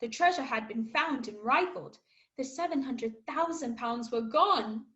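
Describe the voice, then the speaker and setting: Woman as a high-voiced boy narrating a sea adventure. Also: animated, a little slow, a bit bright, muffled recording. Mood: storytelling. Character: young cabin boy narrating his adventure. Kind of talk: scripted dramatic narration.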